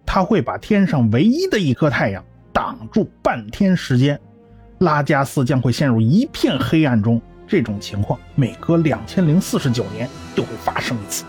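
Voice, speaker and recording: A man's voice: medium pitch, sing-song, normal speed, a little mellow, close to an everyday voice. A man voicing an earnest, learned male scientist in an amateur radio drama, explaining at length in a lecturing way. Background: faint music.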